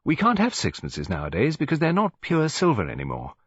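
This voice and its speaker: A man's voice: a little high, wildly swooping pitch, a little fast, a bit bright, slightly rough, no echo, muffled recording, voice pushed up, full character voice. A man voicing a genteel, practical English lady near seventy, who chatters politely in an audiobook story. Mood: chatty, cheerful. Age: older. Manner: chatty, rambling, polite tag questions.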